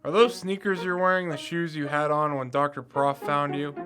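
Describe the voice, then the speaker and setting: A man's voice: a little high, steady tone, moderate pace, music in the background, close to an everyday voice. A man playing a cocky, dogged young amateur detective in an audio drama, self-assured and theatrical. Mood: confident.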